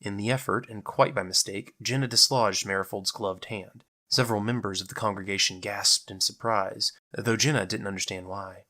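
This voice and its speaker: A man's voice: fairly low pitch, fairly even intonation, moderate pace, few pauses, bright, forceful tone. A man narrating a scripted dramatic story as its storyteller.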